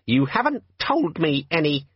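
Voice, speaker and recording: Squeaky sulky gadget demon. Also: male voice, sing-song, moderate pace, slightly rough, no echo, highs cut off. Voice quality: squeaky, cheerful, nagging.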